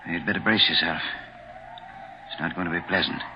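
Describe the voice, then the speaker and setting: An actor playing a solemn, matter-of-fact police officer in a 1940s radio thriller, plain-spoken. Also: male voice, low-pitched, steady tone, fairly quick, a little hiss or hum, close to an everyday voice. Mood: solemn, bracing. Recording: muffled 1940s radio broadcast, music behind.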